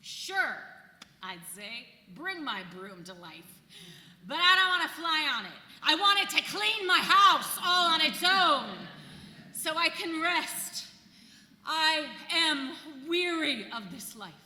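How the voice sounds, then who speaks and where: A woman's voice: high voice, very animated, takes it slowly, pushed and bright, heavily acted. A woman in a staged play reading, playing a bawdy, weary seventeenth-century village housewife with a mocking, emphatic way of talking. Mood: weary, exasperated. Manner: mocking, irreverent, emphatic storytelling. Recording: echoey hall, distant, noisy room tone.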